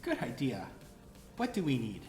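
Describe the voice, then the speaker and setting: Woman doing a step by step how-to, really deep voice, kinda echoey room. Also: huge pitch swings, normal speed, a little mellow. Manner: clear step-by-step explaining. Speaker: presenter and instructor of a DIY electronics show. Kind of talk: instructional tutorial, prompting a co-presenter with questions. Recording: roomy echo, music behind. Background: background music.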